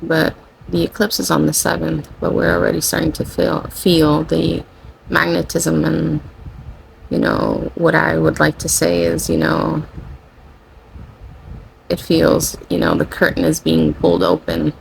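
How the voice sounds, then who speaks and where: A tired, low-energy spiritual channeler, a native Spanish speaker, talking casually and rambling, often saying "you know" and correcting themself. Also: measured pace, gravelly, a little hiss or hum, rough recording. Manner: rambling, self-correcting, with frequent "you know" and light laughter. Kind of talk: casual spiritual vlog monologue.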